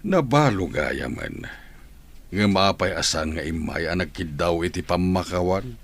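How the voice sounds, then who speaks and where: A man playing a lonely, stubborn elderly father and master of the house in a radio comedy-drama, with a gravelly voice. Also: low-pitched, animated, unhurried, exaggerated voice. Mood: stern, resentful.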